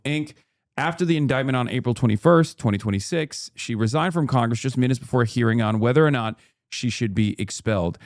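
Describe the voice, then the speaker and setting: Dry-voiced male interviewer with deadpan asides. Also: medium pitch, no echo. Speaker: interviewer and host. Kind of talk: political interview.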